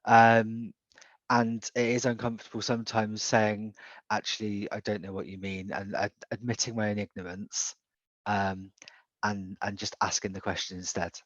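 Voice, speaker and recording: A man's voice: fairly low pitch, flat delivery, normal speed, fairly bright tone, no room sound, dull audio. A man with a British accent speaking candidly and self-reflectively in an online group discussion. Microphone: video call.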